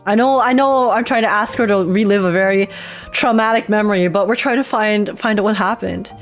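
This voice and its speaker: A woman streaming a game, giving casual, chatty and expressive reaction commentary as she plays. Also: mid-range voice, brisk. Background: game music.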